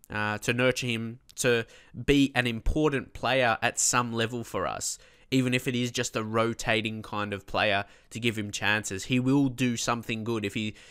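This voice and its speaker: Let's-play host, man with a head cold, rambling then excited, bright smooth tone. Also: medium pitch. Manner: chatty, many fillers, bursts of excitement at goals. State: blocked nose from a cold. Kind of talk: casual gameplay commentary.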